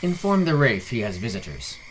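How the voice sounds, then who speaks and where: A man's voice: medium pitch, huge pitch swings, a little fast, a little hiss or hum, poor audio. A man voicing a wry, easygoing older town guard in a fantasy storybook reading. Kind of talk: storybook character voice in fantasy narration.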